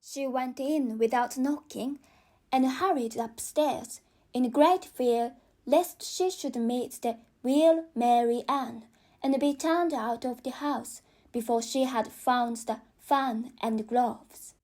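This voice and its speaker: Slow, high-pitched woman narrator with non-native accent. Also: a little mellow. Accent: non-native English. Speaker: audiobook narrator. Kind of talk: scripted children's story narration.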